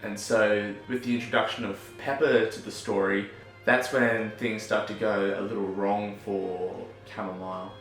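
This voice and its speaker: A young Australian man chatting casually as a filmmaker, self-deprecating and quick to laugh. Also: lowish voice. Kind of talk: casual vlog narration. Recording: echoey room with music under it.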